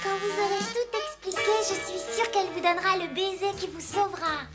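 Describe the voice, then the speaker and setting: A small female cartoon Smurf, sweet and caring. Her voice is extremely high and childlike. Music plays clearly behind her. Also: fairly even intonation, fairly quick. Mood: cheerful, tender. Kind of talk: French animated cartoon dialogue.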